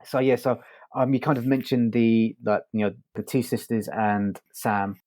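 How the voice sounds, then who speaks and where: A man co-hosting a podcast, discussing casually in a halting, conversational way. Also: medium pitch, steady tone, a little mellow, dry recording. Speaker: podcast co-host.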